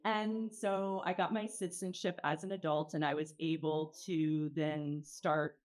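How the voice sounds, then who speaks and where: Female presenter, slightly low register, measured and halting, informal explanatory talk. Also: level tone, a little mellow. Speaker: presenter, arts organization founder. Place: event pavilion.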